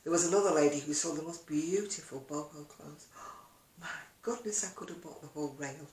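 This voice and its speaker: A woman's voice: deep voice, fairly quick, darkish tone. An older woman chatting casually to camera as a video blogger, rambling along with self-deprecating asides in an echoey room. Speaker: video blogger and craft seller.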